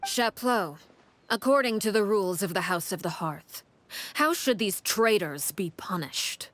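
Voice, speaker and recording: A woman voicing a stern, commanding head of a covert household in a video game, cold, measured and authoritative. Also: medium pitch, lively intonation, a bit bright, slight background noise. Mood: cold, judging.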